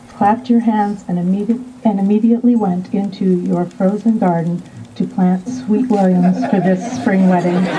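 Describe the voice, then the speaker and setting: A woman officiating a wedding in a garden, speaking in a lyrical, measured way and quoting poetry and scripture. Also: medium pitch. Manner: lyrical, measured, warm toward the couple. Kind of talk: ceremonial address, poetic readings. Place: outdoor garden. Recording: distant outdoor recording with faint noise.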